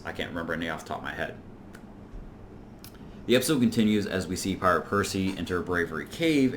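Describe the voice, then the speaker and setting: Low-voiced man rambling through a recap. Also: slight background noise. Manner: rambling, conversational. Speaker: video reviewer and host. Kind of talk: informal video essay recapping and commenting on a horror series.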